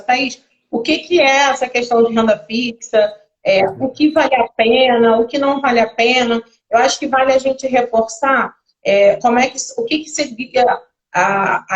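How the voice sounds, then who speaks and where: A woman's voice: high-pitched, poor audio. A Brazilian woman in her thirties hosting a live stream, chatty and rambling in casual talk. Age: 30s. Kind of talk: casual chat. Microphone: video call.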